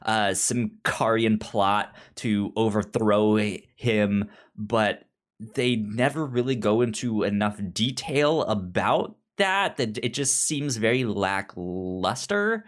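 A man's voice: fairly low pitch, takes it slowly. A man co-hosting a podcast in casual review chat, often repeating and restarting words and acting out imagined lines as he explains. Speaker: podcast co-host.

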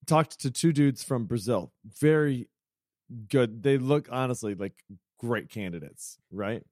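Rambling man with lively pitch. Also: unhurried, dry recording, clean studio sound. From United States. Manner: self-deprecating, rambling storyteller. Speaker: podcast co-host. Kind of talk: casual chat.

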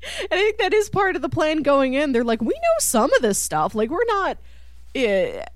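Lively woman chatting casually about film. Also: high voice, normal speed, no room sound. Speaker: podcast co-host. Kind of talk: casual film discussion chat.

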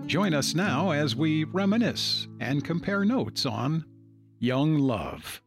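A man's voice: a little high, very animated, takes it slowly, creaky. An older man hosting a podcast, reading scripted narration. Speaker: podcast host. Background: guitar music.

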